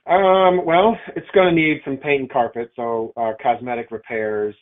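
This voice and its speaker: A man talks casually over a narrow telephone connection. His voice sits at an ordinary male pitch, and he sounds easygoing and a little hesitant, working out his answers as he speaks. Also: fairly even intonation, moderate pace. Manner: hesitant, thinking aloud, easygoing. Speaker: property owner, caller. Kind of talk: casual phone chat. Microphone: phone call. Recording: narrow, tinny phone line.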